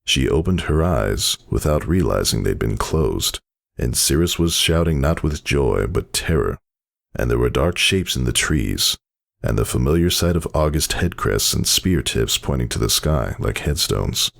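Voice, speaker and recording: Male narrator for dramatic fiction: extremely low, highly expressive, intense and theatrical. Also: a little slow, creaky.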